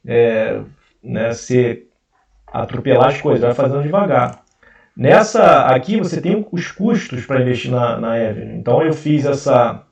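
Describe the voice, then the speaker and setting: Gravelly Carioca man, roomy distant sound. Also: mid-range voice, darkish tone. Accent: Carioca Portuguese. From Brazil. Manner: hesitant, many fillers and restarts. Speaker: investment channel host. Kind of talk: casual screen-share explainer. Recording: roomy, distant, dull mic.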